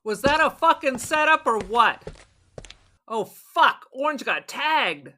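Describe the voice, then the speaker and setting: A woman's voice: high-pitched, expressive, slow, noisy background. A woman playing an agitated, suspicious robber in an audio drama, ranting emphatically and swearing as she reasons things out. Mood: alarmed. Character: agitated, suspicious, fast-reasoning robber, foul-mouthed and paranoid.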